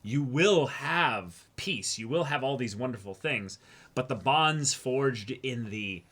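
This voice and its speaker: A man's voice: mid-range voice, expressive, slow, a bit bright. A man co-hosting a reaction show, talking through his analysis casually, wordy and emphatic as he thinks aloud. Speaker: co-host of an anime reaction show.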